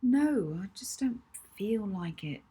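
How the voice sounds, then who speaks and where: A woman's voice: medium pitch, animated, slow pace, mellow, husky, voice pushed up, exaggerated voice. A woman voicing a stubborn, reluctant schoolgirl in a children's story, protesting in a sulky, defensive way. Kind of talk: children's audiobook character voice.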